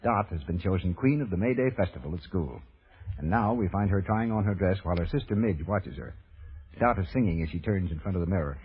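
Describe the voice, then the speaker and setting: A man's voice: deep voice, lively intonation, quick pace, breathy edge. A man announcing and narrating in scripted vintage radio style. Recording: muffled scratchy vintage radio broadcast.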